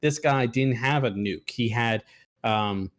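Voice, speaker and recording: A man co-hosting a movie review, talking casually in a halting, rambling way with swearing. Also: medium pitch. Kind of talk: casual film discussion.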